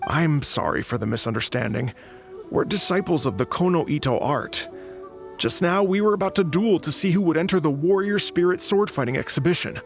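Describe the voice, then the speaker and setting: A man's voice: on the high side, animated, brisk, gravelly, faint music behind. A man playing an earnest, proud sword disciple, speaking formally and solemnly in dramatic video game style. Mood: humbled, earnest. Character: earnest, proud young male sword disciple, senior student.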